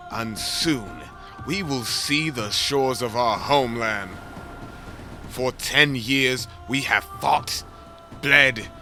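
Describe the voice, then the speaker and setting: A man's voice: medium pitch, sharp tone, heavily acted. A man playing a proud, cunning Greek warrior king in an epic audio drama, declaiming with command.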